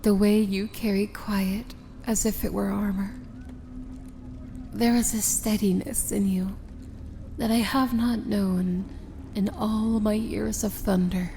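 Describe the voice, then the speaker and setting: A woman playing a proud, dangerous evil princess in an ASMR fantasy romance roleplay, speaking softly and formally with a breathy, measured, regal delivery. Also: medium pitch, steady tone, slight background noise. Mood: tender, admiring.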